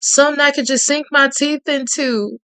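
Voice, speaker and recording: A woman doing a husky Cajun charmer. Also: fairly even intonation, moderate pace, no room sound. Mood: flirtatious. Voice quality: husky, drawling, low. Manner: confident, teasing, quietly threatening. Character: smooth, menacing, flirtatious Cajun man from the South.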